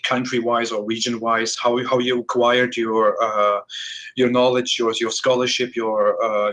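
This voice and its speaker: A man's voice: medium pitch, fairly bright tone, low-quality recording. A man hosting an audio call interview, speaking hesitantly with frequent restarts. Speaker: host.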